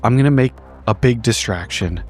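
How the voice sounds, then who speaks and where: A man's voice: medium pitch, normal speed, soft background music, natural voice. A man voicing a frantic, commanding woman with an urgent, stammering delivery in a tabletop horror game. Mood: urgent, excited. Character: frantic, excited woman improvising a bold escape plan.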